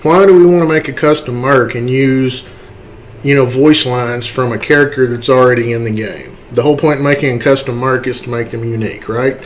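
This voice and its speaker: Guy doing a laid-back game modding walkthrough, chatty, mid-pitched voice, explains as he goes. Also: fairly even intonation, fairly quick, slight background noise. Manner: conversational, explanatory, often tags points with a checking "okay" or "right". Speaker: tutorial host, game modder. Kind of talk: casual screen-recorded tutorial narration.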